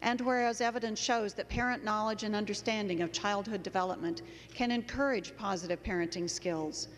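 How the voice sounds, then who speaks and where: Reverb and faint room noise surround a woman in her sixties. Her mid-pitched voice reads a resolution formally. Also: steady tone, fairly quick. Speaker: state legislator. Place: large indoor legislative chamber. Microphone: handheld floor microphone.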